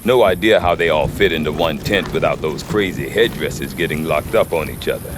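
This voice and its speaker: An actor playing a folksy, wry middle-aged trader in a video game, chatty and given to colloquial sales patter and hesitations. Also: male voice, very deep voice, brisk, noisy background. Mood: wry, confiding. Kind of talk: video game character acting.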